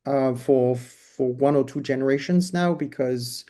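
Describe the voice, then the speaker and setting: A man's voice: mid-range voice, measured pace, mellow. A man with a French accent, answering interview questions in a loose, hesitant way with many restarts. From France. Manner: hesitant, many restarts and fillers while explaining. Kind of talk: casual conversational interview answers.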